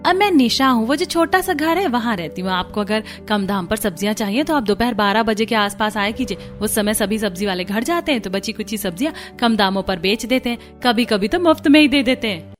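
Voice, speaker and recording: Lady playing friendly cartoon neighbour girl, chatty, highish voice, light music. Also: expressive, brisk, few pauses, lightly acted. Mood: friendly, helpful.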